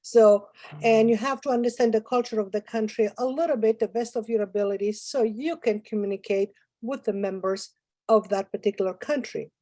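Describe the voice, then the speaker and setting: Greek-accented woman, mellow and dry. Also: on the high side, fairly quick, no room sound. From Greece. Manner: hesitant, with many fillers and broken phrasing. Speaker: guest speaker. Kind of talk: conference presentation.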